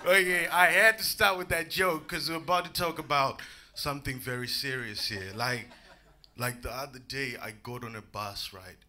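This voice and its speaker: A young man from Zimbabwe doing a stand-up set on a comedy club stage with a handheld mic, animated and laughing at his own jokes, jumping into shouted bits. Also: medium pitch, normal speed. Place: comedy club stage before a live audience.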